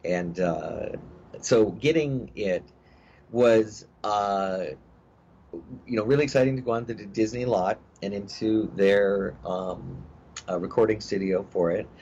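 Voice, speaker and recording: A middle-aged actor talking in a casual interview, rambling with many fillers and restarts. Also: male voice, fairly low pitch, unhurried, darkish tone. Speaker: actor guest. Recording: dull lo-fi audio with faint hiss.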